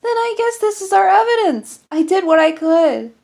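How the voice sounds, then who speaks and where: A woman's voice: very high-pitched, animated, moderate pace, vocal fry, a little mellow, pitched above the performer's own voice, full character voice. A woman voicing a conscientious, anxious female doctor in an audiobook story, earnest and guarded. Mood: urgent, defensive.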